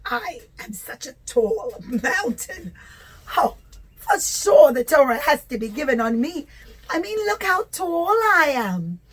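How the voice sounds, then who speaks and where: A woman's voice: high-pitched, big pitch swings, slow, voice pushed up, full character voice. A woman voicing a boastful male mountain in a puppet show, bragging and entitled. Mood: smug boasting.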